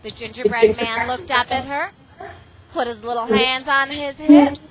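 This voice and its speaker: A woman reading a storybook aloud to children in a classroom, with animated, expressive storytelling. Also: high voice, measured pace, crowd noise. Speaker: reading tutor and visiting parent reading to a class. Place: school classroom. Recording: very noisy amateur classroom recording.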